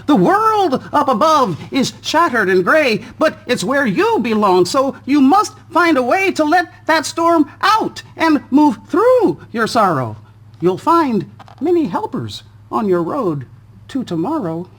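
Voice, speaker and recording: A man voicing a gentle, wise spider from a storybook, guiding with measured, reassuring rhyme. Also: very high-pitched, big pitch swings, voice pushed up, heavily acted. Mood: comforting. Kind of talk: storybook character voice.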